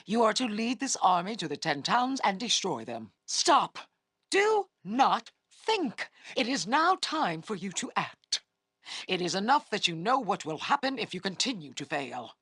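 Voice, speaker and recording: Husky, haughty monster-army commander. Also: female voice, mid-range voice, very animated, a little slow, a bit bright, no room sound, studio quality, exaggerated voice. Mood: angry, commanding. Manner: commanding, contemptuous. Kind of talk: scripted fantasy video game acting.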